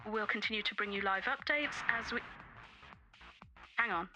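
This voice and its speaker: A woman's voice: medium pitch, moderate pace, over music, lightly acted. A woman playing a television newsreader, giving a formal scripted bulletin. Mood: composed. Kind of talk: news bulletin in audio drama.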